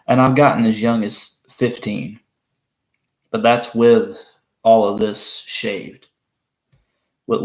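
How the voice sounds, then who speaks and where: A man in his twenties from Alabama with a Southern accent and a deep voice, chatting casually with viewers on a live stream. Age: 20s. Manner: rambling, chatty, laughs often, restarts. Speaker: livestream host.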